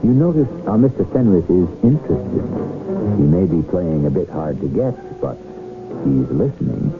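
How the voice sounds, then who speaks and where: A man's voice: low-pitched, very animated, normal speed. A man hosting a 1970s radio mystery drama, narrating theatrically and wryly straight to the listener. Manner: wry, theatrical, addresses the listener. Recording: muffled 1970s radio broadcast, music behind. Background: music.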